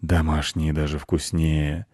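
A man voicing a gloomy, worldly-wise storybook wolf who grumbles in a slow, colloquial, weary way. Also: extremely low pitch, flat delivery, darkish tone, breathy edge, no room sound, clean studio sound, pitched below the performer's own voice, heavily acted. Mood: gloomy, matter-of-fact.

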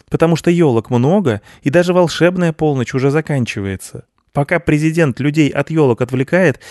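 A woman's voice: deep voice, a little mellow, no room sound, clean studio sound, pitched above the performer's own voice. A man voicing an impatient young Snow Maiden from a storybook, scolding and hurrying her grandfather. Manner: bossy, scolding, hurried.

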